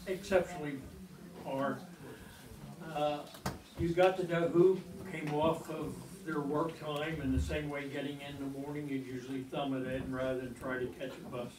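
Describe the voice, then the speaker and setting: Older man reminiscing in an echoey room. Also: on the high side. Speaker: panelist. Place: community meeting room. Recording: echoey room, distant mic, background noise.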